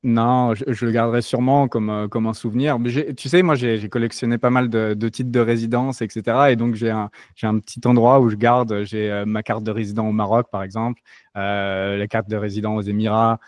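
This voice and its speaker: A man's voice: lowish voice, brisk, no room sound, studio quality. A French-born man as an interview guest, talking casually with many hesitations and restarts. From France. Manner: relaxed, many fillers and restarts.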